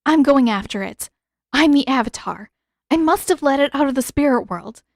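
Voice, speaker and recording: A woman's voice: high-pitched, lively intonation, moderate pace, dry recording, clean studio sound, voice pushed up. A woman voicing a determined teenage girl heroine in a storybook, earnest and urgent.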